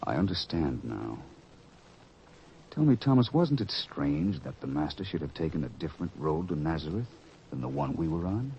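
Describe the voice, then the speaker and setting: A man playing an earnest disciple of Jesus in an old radio drama, speaking solemnly and deliberately with formal diction. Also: a bass voice, sing-song, warm tone, heavily acted. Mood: wondering. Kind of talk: 1940s radio drama acting. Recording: dull old radio recording, noisy.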